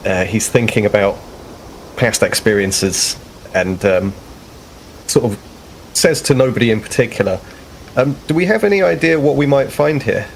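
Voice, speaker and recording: A man's voice: mid-range voice, lively intonation, moderate pace, long pauses, rough recording. A man playing a tabletop game, casually and somewhat hesitantly describing what his character does. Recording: clear background noise, room ambience.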